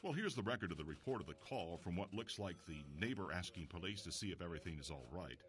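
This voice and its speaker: A man playing an earnest, inquisitive investigator in an audio drama, telling a mystery in a measured storytelling way. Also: low-pitched, animated, a bit bright. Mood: earnest, probing. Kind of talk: audio drama acting with first-person narration.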